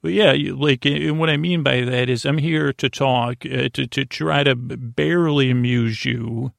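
A man with a creaky voice hosts a sleep podcast, rambling soothingly and a little goofily, stammering and wandering off into tangents. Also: medium pitch, steady tone, dry recording, studio quality. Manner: meandering, halting, stammering, trailing off into tangents; soothing, lulling, goofy. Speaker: podcast host. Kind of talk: rambling bedtime monologue to help listeners sleep.

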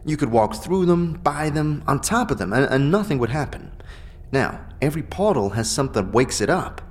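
Animated male skull companion, acted by a man, swooping pitch, chatty, colloquial, wisecracking, fantasy audio drama. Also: normal speed. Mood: chatty, wry. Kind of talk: audio drama game dialogue.

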